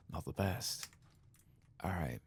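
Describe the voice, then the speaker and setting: A man giving soft-spoken, often whispered ASMR game commentary in a gravelly, musing mutter. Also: low voice, a little hiss or hum. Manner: musing, muttering understated reactions. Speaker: ASMR streamer playing a video game.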